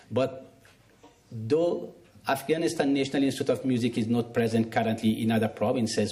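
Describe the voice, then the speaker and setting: A man's voice: mid-range voice, warm tone. A man speaking on stage at a forum, giving formal remarks and answers as a music school director. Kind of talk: public thanks and question answering at a forum. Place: on stage at a live forum event.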